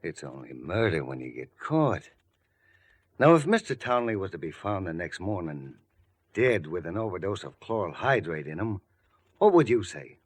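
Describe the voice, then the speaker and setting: An actor playing a quietly menacing, shady gangster in a 1940s radio mystery, with sly, slangy tough talk. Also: male voice, sits low, sing-song, normal speed, slightly rough, heavily acted. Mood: menacing, persuasive. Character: shady gangster man, quietly menacing, offering murder money.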